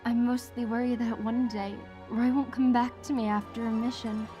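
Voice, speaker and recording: A woman's voice: a little high, little pitch movement, moderate pace, muffled recording, music in the background, close to an everyday voice. A woman voicing an earnest young bridge crew member in a parody anime dub. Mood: worried.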